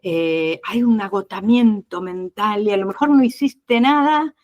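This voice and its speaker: A woman's voice: medium pitch, measured pace, darkish tone. Chatty Argentine woman explaining. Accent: Argentine Spanish. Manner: chatty, explains with many fillers and restarts. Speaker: interview guest. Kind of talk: casual conversation.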